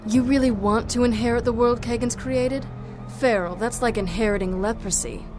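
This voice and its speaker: A woman's voice: on the high side, fairly even intonation, moderate pace, music in the background, lightly acted. A woman playing a tough, sardonic half-vampire action heroine in a video game cutscene, wry and mocking.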